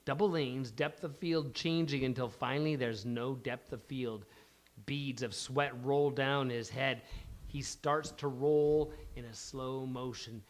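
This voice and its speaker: A man's voice: medium pitch, steady tone, measured pace. A man narrating a comedy script aloud at a live table read, dramatically playing up the action. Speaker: stage-direction narrator at a live script reading.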